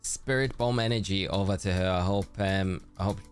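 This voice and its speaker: Low-voiced man chatting to his stream. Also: fairly even intonation, normal speed, soft background music. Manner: friendly, chatty, calls viewers mate. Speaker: streamer reading live chat. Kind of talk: casual live-stream chat while shiny hunting.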